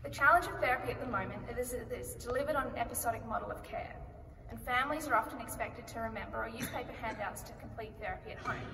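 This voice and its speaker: An Australian woman delivers a rehearsed pitch in a clear, measured voice that sits a little high. She sounds far from the microphone, and a long echo from a big hall trails after her words. Plenty of background noise runs under everything. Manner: clear, measured presentation delivery with rhetorical questions. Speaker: company founder pitching. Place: large conference hall. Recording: echoey hall recorded from far off, noisy.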